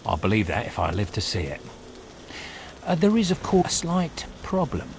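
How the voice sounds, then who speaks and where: A man's voice: fairly low pitch, wildly swooping pitch, normal speed, gravelly, slight background noise, heavily acted. A man playing a sarcastic, exasperated, self-serving bard hero in a video game, with wry, hesitant asides.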